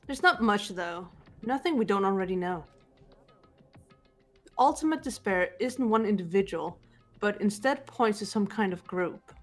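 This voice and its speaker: A woman voicing a cool, serious teenage girl detective in a visual novel game, measured and matter-of-fact. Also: medium pitch, expressive, faint music behind. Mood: composed, serious. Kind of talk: visual novel character reading.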